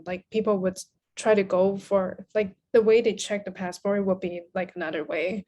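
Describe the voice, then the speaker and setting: Mid-pitched woman, thoughtful podcast guest. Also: steady tone, moderate pace, warm tone, a little hiss or hum. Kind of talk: casual interview talk.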